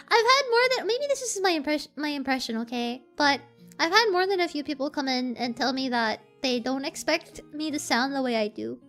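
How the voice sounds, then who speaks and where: A soft-voiced woman streamer chats with her viewers in a very high, sharp, bright voice. She giggles and repeats herself as she reads their messages. Faint music plays behind her. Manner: giggly, chatty, stumbles and repeats words. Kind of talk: casual chat, reading viewer messages.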